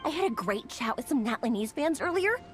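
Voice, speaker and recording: A woman's voice: sits high, big pitch swings, brisk. A woman voicing a cheerful young woman who rambles eagerly about her comic hobby. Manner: bubbly, rambling. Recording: game audio with faint music.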